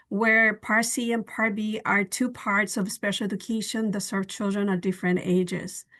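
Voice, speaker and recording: A woman who works as a bilingual trainer, explaining in an earnest way on a video call webinar, with small slips in her phrasing. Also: mid-range voice. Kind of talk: webinar presentation.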